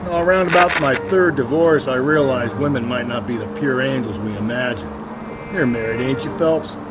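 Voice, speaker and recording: A man's voice: medium pitch, moderate pace, over loud music, lightly acted, poor audio. A man with an American accent playing a cynical, crude middle-aged police detective in a video game, brash and sardonic in his delivery. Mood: needling, cynical. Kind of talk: video game acting, 1940s detective drama.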